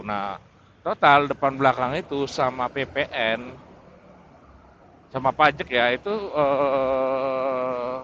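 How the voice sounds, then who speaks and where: A man's voice: medium pitch, a bit bright. A man chatting casually and hesitantly, rambling and repeating himself as he vlogs. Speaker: motovlogger reviewing his camera. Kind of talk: casual unscripted vlog chat. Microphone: wireless mic through helmet intercom. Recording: muffled intercom mic with clear vehicle noise. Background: vehicle and road noise.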